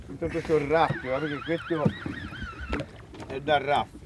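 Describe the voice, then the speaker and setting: A man's voice: fairly high pitch, fairly even intonation, normal speed, background noise. A man hosting a fishing show, chatting casually on a small boat at sea and coaching his fellow angler.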